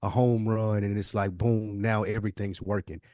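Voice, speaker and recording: A man with a somewhat low voice speaks as a podcast co-host, recorded very dry. His delivery is informal and conversational, repeating phrases for emphasis as he gives advice. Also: steady tone, normal speed, dark tone, no room sound.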